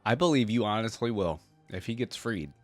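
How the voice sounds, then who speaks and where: Male reaction host, lowish voice, off-the-cuff and laid-back, faint music underneath. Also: lively intonation, fairly quick. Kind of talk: casual reaction commentary.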